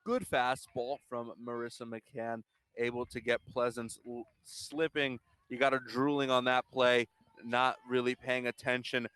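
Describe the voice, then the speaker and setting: A man with a clear, resonant voice at an average pitch adds color commentary to a softball game. He breaks down pitches in a casual, analytical way. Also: fairly even intonation, measured pace, no room sound.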